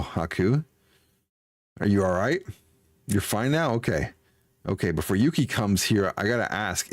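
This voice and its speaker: A man's voice: lowish voice, dry recording. A man in his forties hosting a game livestream, chatting casually with viewers in an upbeat, folksy manner. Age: 40s. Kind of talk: casual livestream chat, greeting and answering viewers.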